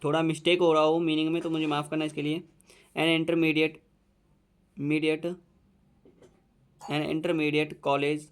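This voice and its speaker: A man with an Indian accent teaching students, dictating a letter slowly phrase by phrase and mixing in explanations. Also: fairly high pitch, completely flat delivery, clear, resonant tone. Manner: slow, repeating each phrase as he writes; mixes Hindi explanation with dictated English.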